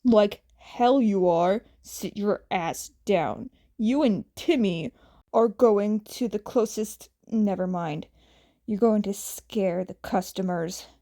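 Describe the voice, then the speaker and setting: A woman's voice: medium pitch, slow pace, mellow, pitched below the performer's own voice. A woman voicing an exasperated, bossy young man, a reluctant big brother, in slangy, grumbling lines from a fan fiction story.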